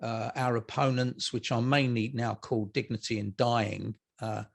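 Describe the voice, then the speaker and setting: An older man speaks as an interview guest, his voice creaky with plenty of fry. He explains things in a reflective, conversational way, taking his time over each thought. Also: medium pitch, little pitch movement, normal speed.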